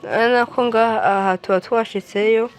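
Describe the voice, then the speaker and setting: A woman's voice: fairly high pitch, steady tone, a little fast, clear, resonant tone. A female speaker with a Burundian accent explaining an app step by step, repeating words as she goes. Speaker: tutorial presenter.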